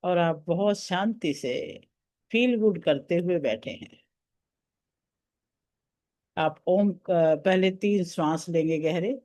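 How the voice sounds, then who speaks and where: A man leading a guided meditation as a teacher, giving soothing, deliberate instructions. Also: a little high, darkish tone. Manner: soothing, deliberate, counting out breaths and steps.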